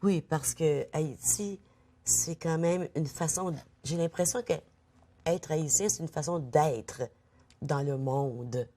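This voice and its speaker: A woman hosts a conversational interview in a low, mellow voice. She affirms her guest often with quick agreements and steers the talk along. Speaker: talk show host.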